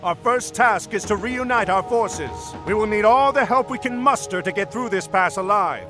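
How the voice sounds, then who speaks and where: An actor playing a steady, noble military commander in a video game, earnest and commanding. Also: male voice, sits high, normal speed, loud music behind the voice.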